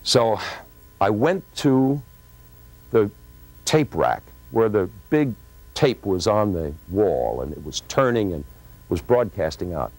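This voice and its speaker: A middle-aged man with a very deep voice recounts events as a storyteller would, playing out the dialogue with drama. The studio sound is dry and close. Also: big pitch swings, takes it slowly, long pauses, darkish tone, no echo, low-quality recording. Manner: dramatic, acts out quoted dialogue. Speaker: former radio program director recalling a broadcast. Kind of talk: animated storytelling to camera. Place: TV studio stage.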